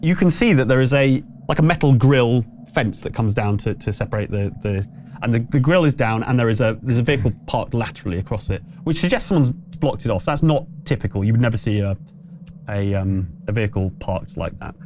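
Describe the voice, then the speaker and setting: A man's voice: medium pitch, lively intonation, fairly quick, a little mellow, slight background noise. A man working as game master, improvising tabletop narration in a conversational, explanatory way with hesitant phrasing.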